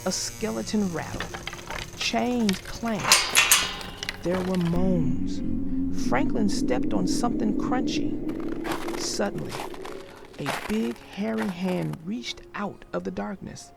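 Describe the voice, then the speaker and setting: A woman reading a children's storybook aloud, gentle and expressive. Her pace is unhurried, her pitch moves a lot, and her voice carries a slight creak. Faint music plays underneath. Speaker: storybook narrator.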